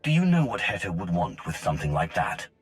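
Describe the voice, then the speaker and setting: An actor playing a stern, driven mercenary fighter in a video game, terse and commanding. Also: male voice, low voice, sing-song, a little fast. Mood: businesslike, commanding. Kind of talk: video game cutscene acting.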